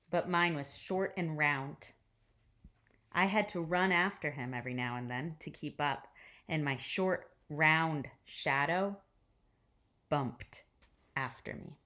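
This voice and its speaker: Woman, teacher, low voice, unhurried storybook reading, expressive, pausing often, softening into hush. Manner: deliberate phrasing with pauses, dropping to hushed tones. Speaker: teacher reading a picture book aloud. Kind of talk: expressive read-aloud storytelling for children.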